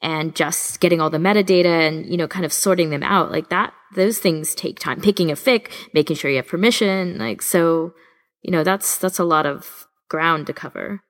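A woman's voice: fairly low pitch, moderate pace. A woman co-hosting a podcast in casual conversation, supportive and thoughtful as she responds. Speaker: podcast co-host, interviewer. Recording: long reverberant echo.

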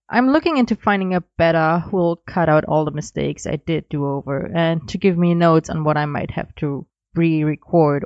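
A woman's voice: deep voice, no echo, muffled recording. A woman who is a non-native English speaker, giving informal recorded listener feedback. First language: not English. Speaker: listener sending in audio feedback.

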